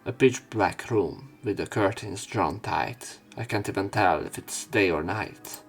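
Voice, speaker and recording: A man's voice: low-pitched, measured pace, gravelly, soft background music. A man reading visual novel text aloud for a let's play, dropping in casual, sweary asides.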